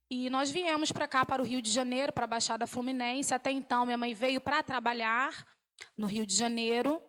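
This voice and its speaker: A woman's voice: sits high, a bit bright. A woman in her thirties from Salvador, Bahia, gives an emotional, fervent church testimony on a TV program. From Salvador, Bahia, Brazil. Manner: emotional, fervent preaching tone with frequent "né?". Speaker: guest giving a testimony.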